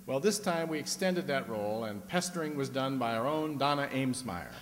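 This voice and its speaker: A man's voice: medium pitch, expressive, fairly quick. A man announcing on stage as the host of a live radio play. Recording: echoey hall, audience and background noise.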